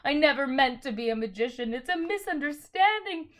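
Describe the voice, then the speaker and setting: A woman reading aloud and voicing a frightened, fussy old gentleman who wails and trembles, close to crying, in a self-pitying way. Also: high-pitched, expressive, brisk, pitched above the performer's own voice. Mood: wailing self-pity.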